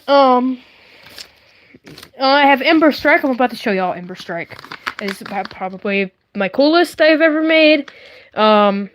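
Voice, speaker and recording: High-pitched lady livestreamer just rambling along, playful n kinda silly, real casual. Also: lively intonation, measured pace, long pauses, lo-fi sound, a little hiss or hum. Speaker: livestream host. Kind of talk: casual chatty livestream, showing handmade puppets.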